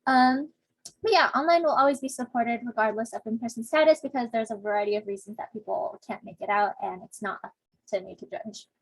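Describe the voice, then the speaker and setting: A woman's voice: on the high side, brisk, breathy edge, dry recording, rough recording. Chatty young woman TA on a video call. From Southern California. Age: young adult. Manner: chatty, filler-heavy, self-deprecating. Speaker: teaching assistant. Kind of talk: casual online discussion section intro.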